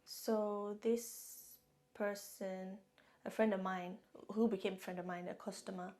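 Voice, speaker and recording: A woman's voice: mid-range voice, flat delivery, measured pace, a little mellow. Chatty Nigerian woman telling her story. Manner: chatty, laughs often, repeats herself. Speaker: vlogger. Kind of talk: casual storytelling to camera. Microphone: camera-mounted shotgun mic.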